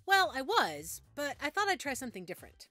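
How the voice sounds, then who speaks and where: Wry, good-natured party guest, acted by a woman, slightly high, animated, dry close recording, audio drama. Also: a little fast, no echo, soft background music, exaggerated voice. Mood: conversational.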